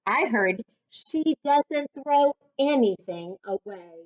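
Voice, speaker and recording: A woman reading a children's story aloud as a reading coach, with a deliberate, instructive, teacher-like delivery. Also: high voice, lively intonation. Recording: dull lo-fi sound.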